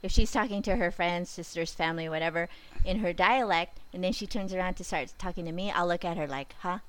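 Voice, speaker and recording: A woman's voice: fairly low pitch, fairly quick. A woman from a Filipino family chatting casually as a co-host, curious and playful, asking many questions. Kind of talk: casual chat.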